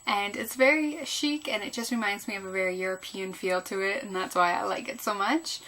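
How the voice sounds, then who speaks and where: A woman's voice: mid-range voice, fairly quick, pushed and bright. A woman chatting casually through a makeup tutorial as a beauty vlogger, sounding a little groggy and tired. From Canada. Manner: chatty, rambling.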